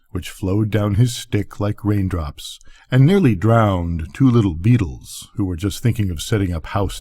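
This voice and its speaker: Husky older man reading a bedtime story. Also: low voice, dark tone. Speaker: storybook reader. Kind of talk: expressive bedtime story narration.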